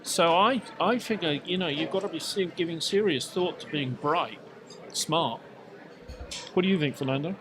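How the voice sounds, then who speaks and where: A man's voice: fairly high pitch, normal speed, noisy background. A man hosting a casual panel discussion, putting questions to the group as moderator. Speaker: podcast host and moderator.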